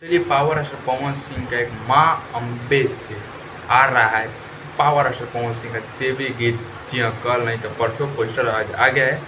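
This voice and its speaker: A man's voice: mid-range voice, fairly even intonation, moderate pace, background noise. A man with a Bhojpuri accent presenting informal gossip news commentary in an emphatic, repetitive, scolding colloquial way.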